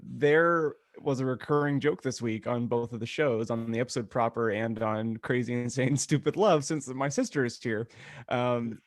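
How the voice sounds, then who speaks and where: A man's voice: medium pitch, normal speed, no echo. A man hosting a podcast in a casual, playful, joking style. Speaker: podcast host. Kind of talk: casual comedic chat.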